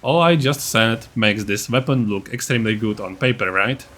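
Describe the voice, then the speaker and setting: A man's voice: fairly low pitch, moderate pace, a bit bright. A man narrating a video game guide as its creator, in an informal, matter-of-fact, explanatory way. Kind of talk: casual explanatory build-guide voice-over.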